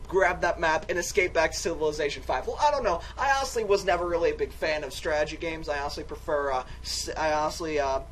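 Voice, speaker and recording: A man's voice: on the high side, fast talker, hardly any pauses, a little hiss or hum. A man doing a dramatic, comic read-aloud of a video game, theatrical, exaggerated and wisecracking. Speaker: game commentator and reader.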